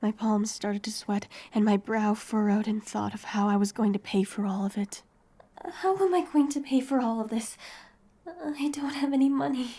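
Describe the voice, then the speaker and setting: A young woman playing a wary, homeless heroine in her twenties, telling her story softly and with measure in an audio drama. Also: fairly high pitch, fairly even intonation, moderate pace. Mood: wary, questioning. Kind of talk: first-person audio drama narration and dialogue.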